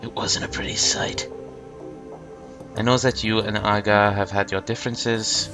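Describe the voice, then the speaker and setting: A man with a stuffed-up nose voicing a grave, scholarly older man who speaks in a measured way, with game music behind. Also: fairly low pitch, little pitch movement. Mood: grave, thoughtful.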